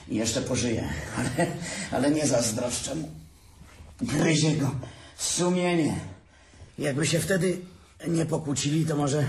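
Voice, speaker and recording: An actor playing a bitter, morbid, hard-drinking brother in an audio drama, halting, repeating words and trailing off. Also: male voice, mid-range voice, close to an everyday voice. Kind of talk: naturalistic audio drama acting.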